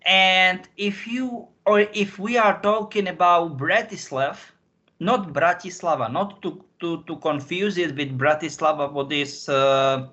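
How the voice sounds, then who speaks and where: A man from Slovakia with a Slovak accent gives rambling interview answers, haltingly repeating and rephrasing as he searches for words. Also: fairly high pitch, pushed and bright, lo-fi sound. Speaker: interview guest.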